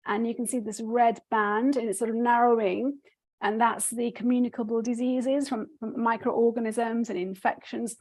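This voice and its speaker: A woman's voice: a little high, little pitch movement, normal speed, a little mellow, low-quality recording. A woman from Nepal, giving an academic conference presentation over a video call, hesitant with many fillers and restarts.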